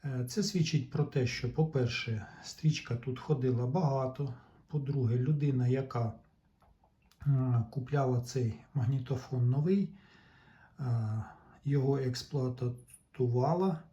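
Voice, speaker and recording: Male repair vlogger, unhurried and soft-edged, explaining technical details casually with halting pauses; slightly muffled audio. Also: mid-range voice. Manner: deliberate, halting, pauses mid-sentence. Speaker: tape recorder repair vlogger.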